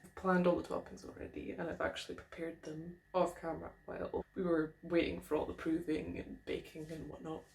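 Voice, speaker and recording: A young Scottish woman talks her viewers through a baking attempt in a home kitchen, chatty and giggly, laughing at herself as she goes. Her voice has a gravelly, creaky edge. A faint hiss of noise sits under the recording. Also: deep voice, flat delivery, a little fast, darkish tone. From Scotland. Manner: chatty, giggly and self-deprecating. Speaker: vlogger. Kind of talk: casual baking vlog.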